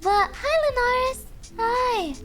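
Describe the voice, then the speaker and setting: A young woman streaming, chatting softly and hesitantly with viewers with many fillers. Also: extremely high pitch, expressive, takes it slowly. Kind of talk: casual chat with viewers. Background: faint background music.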